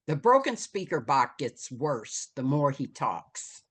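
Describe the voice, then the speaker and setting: A woman with a low voice narrates screenplay directions. She takes her time, phrasing each bit dramatically, and her pitch swings widely. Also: sing-song, takes it slowly, breathy edge. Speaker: stage-direction reader.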